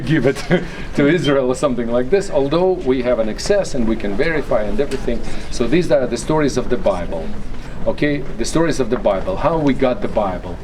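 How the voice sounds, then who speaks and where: Male tour guide, non-native English speaker, mid-range voice, explanatory and halting, recorded amid noticeable ambient noise. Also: medium pitch. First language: not English. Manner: explanatory, peppered with "okay?" and "you know"; halting, searching for words. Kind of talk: guided museum tour talk. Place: at a museum. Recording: noisy ambient background.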